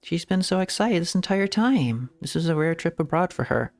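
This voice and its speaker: A man's voice: on the high side, lively intonation, normal speed, darkish tone, faint music behind. A man streaming a game, chatting casually in a rambling, giggly way that often trails off and restarts. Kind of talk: casual gameplay commentary.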